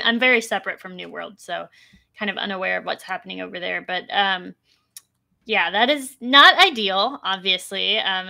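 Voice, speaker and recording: Bright gravelly woman, casual. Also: medium pitch. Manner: casual, hedging, laughs easily. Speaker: community manager. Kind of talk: livestream panel chat.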